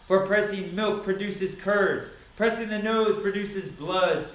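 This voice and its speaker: A man giving a dramatic, solemn reading of scripture, declaiming each phrase deliberately. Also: high-pitched, fairly bright tone. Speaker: Bible reader. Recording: very echoey, reverberant sound.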